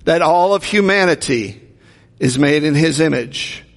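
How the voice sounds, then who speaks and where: A man's voice: on the high side, lively intonation, a little slow. A man preaching a sermon in a conversational way, asking the congregation rhetorical questions. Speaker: pastor. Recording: long hall reverb.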